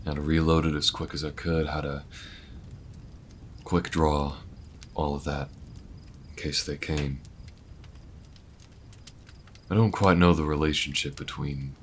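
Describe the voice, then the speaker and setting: A man's voice: very deep voice, slight background noise. A man voicing a laid-back, protective alien gunslinger in an intimate audio roleplay, with folksy, hesitant, reflective speech. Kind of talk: slow-burn audio roleplay, intimate monologue to listener.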